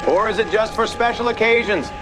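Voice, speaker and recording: Man acting a pompous, high-pitched comic blowhard. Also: brisk, music in the background, lightly acted. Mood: showy, chatty. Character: brash, self-important man, by turns official and TV reporter. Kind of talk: animated motion comic acting.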